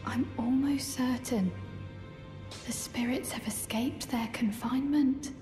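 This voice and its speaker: High-voiced polite maiden in echo. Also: female voice, fairly even intonation, slow. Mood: curious, polite. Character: polite, inquisitive, gentle spirit-tuning maiden. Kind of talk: video game character acting. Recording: long reverberant echo, music behind.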